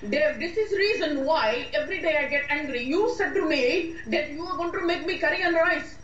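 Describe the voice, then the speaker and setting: A muffled, roomy recording with some noise carries an actress playing a fed-up young woman in a radio drama. Her voice is high, and she scolds dramatically, hammering on repeated words. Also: brisk, far from the mic, music in the background, lightly acted. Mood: angry scolding. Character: fed-up, hot-tempered young Indian immigrant woman scolding boyfriend. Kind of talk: amateur radio drama acting.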